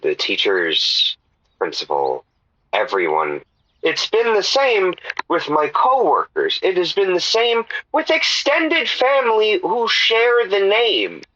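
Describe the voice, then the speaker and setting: A man's voice: sits high, huge pitch swings, slow pace, bright, forceful tone, full character voice. A man playing a put-upon, hesitant caller on a comedy radio advice show, telling his troubles plaintively through a dull lo-fi line. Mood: aggrieved, sheepish. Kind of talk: improvised comedy radio call-in.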